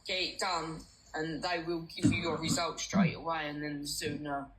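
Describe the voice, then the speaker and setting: A woman's voice: low voice, fairly even intonation, moderate pace, heavy background noise. A British woman chatting casually in a colloquial, sweary, supportive manner. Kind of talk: casual chat.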